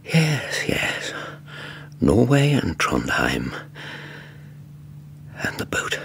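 An actor playing a fussy, learned middle-aged English academic in a radio drama, donnish and hesitant, with Received Pronunciation. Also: male voice, on the low side, huge pitch swings, extremely slow, vocal fry, a bit bright, a little hiss or hum, full character voice. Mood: measured storytelling. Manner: donnish, hesitant, prone to mild exclamations. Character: fussy, learned Edwardian English academic and ghost-story writer. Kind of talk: radio drama acting, storytelling narration and dialogue.

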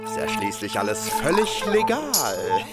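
A man's voice: lowish voice, huge pitch swings, unhurried, over loud music. A man chatting casually to the listener in an audio play, with colloquial, muttered asides. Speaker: rapper playing himself in an audio play. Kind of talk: casual acted chat to the listener.

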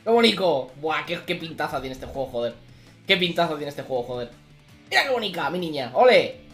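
A young adult man from streaming from home, chatting casually with loud excited outbursts and swearing. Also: a little high, brisk, soft background music. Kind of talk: casual live-stream chat.

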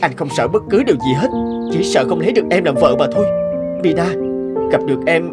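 A man voicing a devoted, anxious young village husband in an animated folktale, earnest and expressive. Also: fairly high pitch, fast talker, natural voice. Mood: pleading, devoted. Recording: music behind the voice.

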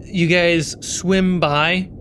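Male game master, slightly high voice, conversational narration, faint noise and ambience behind. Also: steady tone, drawn-out words, a bit bright. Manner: casual, conversational. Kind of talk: narrating and refereeing a tabletop fantasy game.

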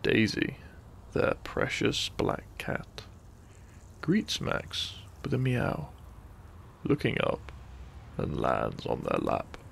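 Gravelly, slow man narrating softly at bedtime. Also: lowish voice, wildly swooping pitch, a little hiss or hum. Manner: soft, breathy, soothing, often near whisper. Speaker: sleep-aid audio narrator. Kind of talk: gentle bedtime story narration, ASMR.

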